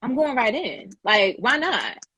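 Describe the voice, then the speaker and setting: A woman host with a husky, slightly breathy voice warmly affirms her guest and then asks her next question. The recording is dry and close, with no room sound. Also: on the high side, big pitch swings, unhurried, dry recording. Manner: affirming, enthusiastic interviewer. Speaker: podcast host. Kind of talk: casual interview conversation.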